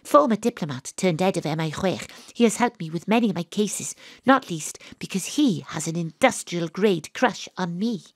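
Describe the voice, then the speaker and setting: A woman playing a gruff, dogged private detective who tells her own case in a dramatic, atmospheric audio drama delivery. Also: on the low side, expressive, normal speed, few pauses, dry recording. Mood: tense, hushed. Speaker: lead actress and narrator. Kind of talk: first-person audio drama narration.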